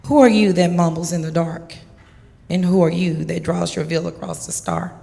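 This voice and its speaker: A woman giving a dramatic poetry reading with emphatic, deliberate phrasing. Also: deep voice. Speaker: poetry reader. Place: large church hall. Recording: echoey hall.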